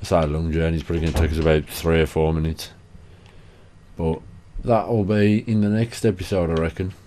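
A young man talks through his playthrough in a loose, sweary, self-mocking way. His voice sits low and dark. It has a slight rasp from a lingering cough, and he sounds worn out. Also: steady tone, brisk, slight background noise. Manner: sweary, chatty, self-deprecating. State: tired and hoarse from a cough. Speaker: gaming channel host. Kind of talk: casual gameplay commentary.

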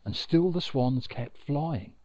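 A middle-aged man tells a story in a very lively, swooping voice, slow and full of dramatic pauses. He speaks outdoors into a lapel mic, and the sound is dry and slightly dull. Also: fairly high pitch, dry recording. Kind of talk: expressive children's storytelling. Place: outdoors on an open riverbank. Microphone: lapel mic with furry windshield.

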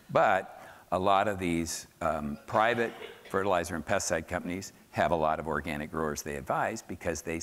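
A man's voice: sits low, a bit bright. An older man with an American accent giving an informal, emphatic conference lecture as a scientist explaining to an audience. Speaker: keynote speaker, soil scientist. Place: large dark conference hall, on stage at podium. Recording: echoey hall, amplified stage speech.

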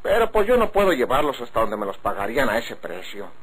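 Actor playing a folksy cattle seller, animated. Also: male voice, medium pitch, fairly quick, crowd noise, natural voice. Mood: bargaining. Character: plain-spoken country cattle seller, man, frank and folksy. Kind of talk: golden-age Mexican radio drama acting. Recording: noisy old radio drama recording.

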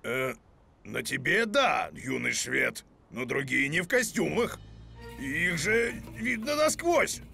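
An actor playing a bumbling middle-aged police officer in a dubbed cartoon, with a slow, swooping delivery. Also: male voice, a little high, sing-song, creaky, bright, forceful tone, slightly rough, soft background music, exaggerated voice. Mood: flustered.

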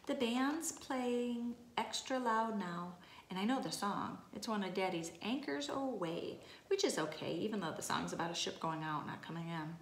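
A woman reading a children's picture book aloud in an expressive way. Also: mid-range voice, normal speed. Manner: expressive, acting out exclamations and dialogue. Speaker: storybook reader. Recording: echoey room, clear background noise.

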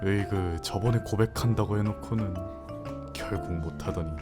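A man's voice: lowish voice, moderate pace, natural voice. A man voicing a laid-back married man in his thirties who teases his nervous friend with chuckles, in an amateur audio drama. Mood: teasing. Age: 30s. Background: soft background music.

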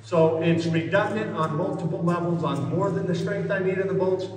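A man's voice: high-pitched, normal speed, recorded from a distance. Man explaining his build in an echoey concrete shell. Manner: explanatory, technical, talks straight to the camera. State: tired late at night. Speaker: builder and channel host. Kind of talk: casual build-progress vlog. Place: inside a large empty concrete pool shell, indoors. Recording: echoey concrete space with long reverb.

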